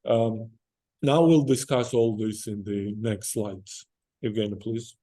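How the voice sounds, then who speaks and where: A man speaking as a company executive, giving a formal, measured investor call presentation with some hesitant pauses. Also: fairly low pitch, fairly even intonation, warm tone.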